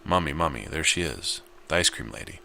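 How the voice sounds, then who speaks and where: Visual novel kid role: excitable, blunt little boy who nags, read by a very deep-voiced man. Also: a little fast, creaky, a little hiss or hum, exaggerated voice. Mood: excited. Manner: pleading, excitable. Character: eager little boy, nagging and bluntly innocent.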